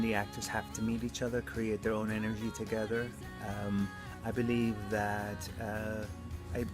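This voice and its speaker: An earnest man speaking as an indie film director, thinking aloud with a halting delivery. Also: on the low side. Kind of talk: interview answers and addressing a group. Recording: background guitar music. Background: music.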